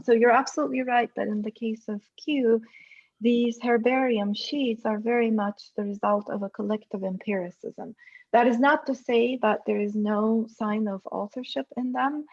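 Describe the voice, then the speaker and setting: A woman's voice: on the high side, darkish tone, lo-fi sound. A woman on an academic panel, speaking thoughtfully and a little self-consciously over a video call.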